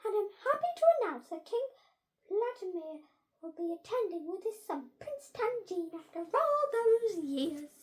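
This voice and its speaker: A woman voicing a stern, easily flustered head teacher in a children's storybook, loud and proclaiming. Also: very high-pitched, very animated, measured pace, pitched above the performer's own voice, full character voice. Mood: grand announcing.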